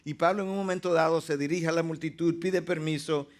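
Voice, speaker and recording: A male preacher delivers a teaching sermon in a notably high voice. He speaks emphatically and slows down to lean on the words that matter. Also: steady tone.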